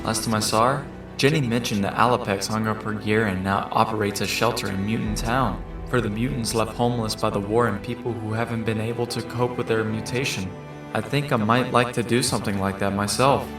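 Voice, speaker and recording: A man's voice: lowish voice, steady tone, fairly quick, lightly acted. A man playing a thoughtful, lonely teenage mutant turtle in an earnest, introspective monologue for a comic dub. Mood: melancholy, reflective. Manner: earnest, reflective. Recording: roomy, distant mic under loud music.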